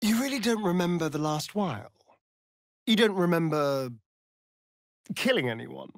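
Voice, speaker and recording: An actor playing a sardonic, vain, flirtatious vampire rogue in a video game, with a theatrical, drawling British delivery. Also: male voice, on the high side, expressive, moderate pace, gravelly, dry recording, clean studio sound. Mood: dry, pointed. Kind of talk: video game character dialogue.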